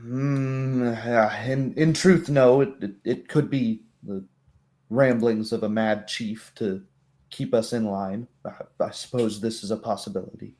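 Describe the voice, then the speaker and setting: A man voicing a wary, weary female kobold in a tabletop roleplay, speaking haltingly with pondering hums. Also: on the low side, dark tone. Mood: uncertain, pondering.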